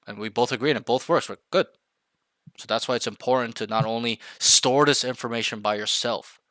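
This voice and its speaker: A man's voice: medium pitch, brisk, fairly bright tone, no room sound. A man coaching a younger player, blunt and emphatic, repeating key points to drive them home. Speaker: game coach. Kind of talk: coaching session, direct feedback.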